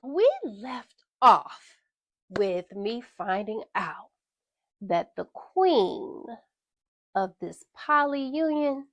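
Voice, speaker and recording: A woman's voice: on the high side, lively intonation, very slow, fairly bright tone, no room sound. A congested woman with a slightly stuffy voice, hosting a frank storytime podcast and addressing listeners directly. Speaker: podcast host. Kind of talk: storytime monologue.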